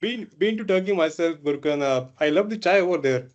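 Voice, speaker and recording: A man's voice: fairly high pitch, animated, fairly quick. A man moderating a panel discussion in a friendly way, laughing between the questions he reads out. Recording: muffled lo-fi audio.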